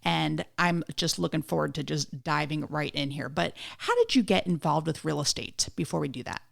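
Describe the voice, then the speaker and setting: Lowish gravelly-voiced woman host. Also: expressive, normal speed. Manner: teasing, chatty. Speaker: podcast host. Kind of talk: scripted intro then casual interview chat.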